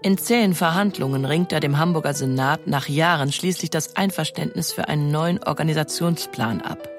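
A woman reads scripted documentary narration as a narrator, in pronunciation. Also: sits low.

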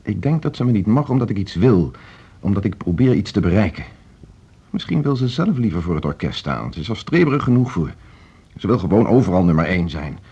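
An actor playing an ambitious, stubborn orchestra conductor in a naturalistic radio drama, brusque, self-assured and dismissive. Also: male voice, on the low side, sing-song, brisk, warm tone. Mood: resentful. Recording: dull, muffled radio play recording.

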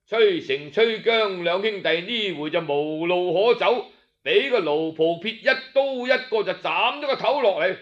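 A male Cantonese storyteller with a fairly high voice narrates at a slow pace. His delivery is colloquial and expressive, with drawn-out sentence endings. The audio is slightly dull.

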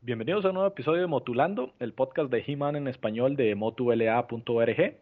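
A man's voice: medium pitch, fast. Man hosting over a tinny line. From Costa Rica. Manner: relaxed, thinks aloud with restarts. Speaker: podcast host. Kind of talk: casual podcast chat. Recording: tinny phone-like call audio.

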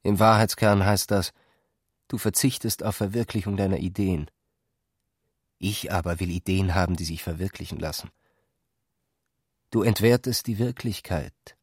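A man's voice: low voice, steady tone, normal speed, pauses often, husky, no room sound, studio quality. An actor playing a bitter, reproachful man who accuses his rival emphatically in a radio drama. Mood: accusing.

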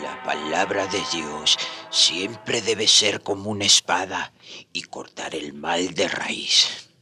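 An actor playing a caring, respectful priest in a radio drama, speaking in a gentle, solemn manner. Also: male voice, low voice, takes it slowly, soft background music, full character voice. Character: caring, respectful priest tending an ailing elder friar.

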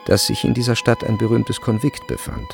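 A man narrating a literary radio drama in a measured, precise storytelling manner. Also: fairly low pitch, sing-song, gravelly. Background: faint music.

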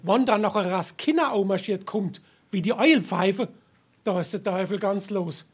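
A man playing a grumbling, henpecked family father in a Darmstadt Hessian dialect tavern comedy, exasperated and husky. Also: high voice, normal speed, a little hiss or hum, close to an everyday voice. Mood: exasperated ranting. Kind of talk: dialect stage comedy acting.

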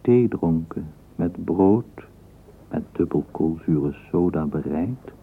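An actor playing a measured, wry storyteller, narrating a literary radio drama in a husky voice. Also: male voice, deep voice, expressive, full character voice. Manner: deliberate, measured phrasing. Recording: tinny, narrow-band old radio recording.

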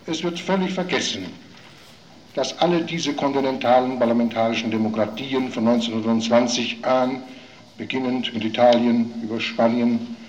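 Male actor as grave committee politician in a radio docudrama, insistent and formal, medium pitch, slight ambient noise. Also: steady tone, normal speed, darkish tone, lo-fi sound, close to an everyday voice. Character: grave male post-war parliamentarian arguing before committee.

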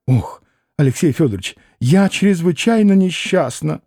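A man voicing a fussy, excitable middle-aged noble mother in a classic novel audiobook, gushing with theatrical exclamations. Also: on the high side, moderate pace, dry recording, studio quality, voice pushed up. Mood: distraught.

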